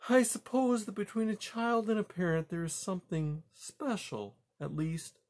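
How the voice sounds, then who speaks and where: A man voicing a gentle, anxious mother mouse in a storybook, speaking politely and earnestly with some hesitation. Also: high-pitched, normal speed, voice pushed up. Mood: earnest, hurt.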